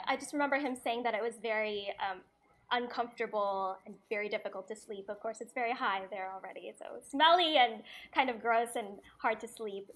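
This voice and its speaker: Woman writer chatting on a video call, a bit high, lots of ums. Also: fairly bright tone. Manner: thoughtful, with frequent ums. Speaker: musical theatre writer. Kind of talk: casual video call chat.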